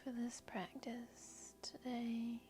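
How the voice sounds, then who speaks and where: A woman guiding a meditation in a soft, breathy, whispery voice, speaking gently and soothingly with long unhurried pauses. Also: fairly high pitch, monotone. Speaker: yoga nidra guide and host. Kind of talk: guided meditation narration. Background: background music.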